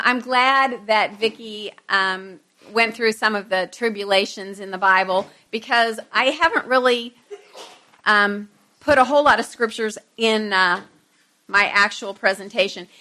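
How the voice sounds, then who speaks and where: A middle-aged woman speaks as a teacher leading an informal Bible lesson. Her voice is bright and forceful, at an ordinary female pitch. She talks conversationally to the group and drops in self-deprecating asides.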